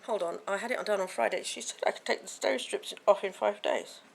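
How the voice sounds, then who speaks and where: A woman vlogging to camera from home, with a croaky voice from a sore throat, chatting in a rambling way with many fillers and restarts. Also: mid-range voice, steady tone, fairly quick. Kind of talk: casual chat to camera.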